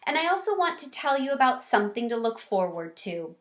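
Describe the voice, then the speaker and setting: A woman's voice: fairly high pitch, sing-song, brisk. A woman voicing a kind, patient female schoolteacher who explains things attentively in a storybook. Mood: instructive.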